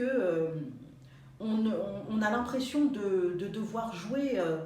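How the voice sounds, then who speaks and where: A woman guest on a radio interview, talking in a halting way with many fillers. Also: medium pitch, darkish tone, a little hiss or hum. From France. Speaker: guest author and sociologist. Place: radio studio. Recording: echoey, distant mic.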